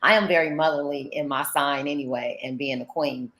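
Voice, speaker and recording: A woman in her forties with a low voice talks about her life in an enthusiastic, casual way. She is a life coach and teacher on a video call. Her voice carries a roomy echo. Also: steady tone, a little fast, fairly bright tone. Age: 40s. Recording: echoey room.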